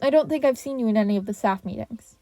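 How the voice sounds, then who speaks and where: A woman's voice: on the high side, fast, warm tone, dry recording, soft background music, close to an everyday voice. Woman as a defensive, proud male hero. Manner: defensive, protesting.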